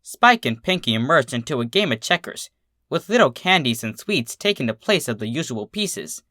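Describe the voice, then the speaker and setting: Bright-voiced, expressive story narrator. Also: medium pitch, moderate pace, no room sound, clean studio sound. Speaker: fan fiction reader.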